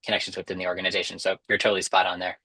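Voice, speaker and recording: A man's voice: low-pitched, completely flat delivery, racing, pushed and bright. A young man giving structured, informal explanations as an expert guest on a webinar, speaking over a video call. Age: young adult. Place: indoors against a dark backdrop, on a video call. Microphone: wireless earbuds on a video call.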